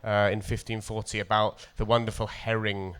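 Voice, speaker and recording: A man from North Wales with a North Welsh accent, a poet introducing and reading poetry at a festival in a measured, deliberate way. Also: on the low side, little pitch movement, fairly bright tone, smooth. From coastal North Wales.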